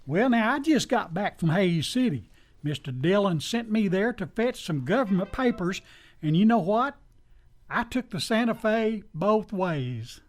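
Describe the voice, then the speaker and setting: A man's voice: high voice, lively intonation, unhurried. Guy doing folksy old west sidekick, loyal type, voice bouncing up and down, radio play. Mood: friendly. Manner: folksy, rustic phrasing. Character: folksy, loyal male sidekick of a frontier marshal. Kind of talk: western radio drama acting.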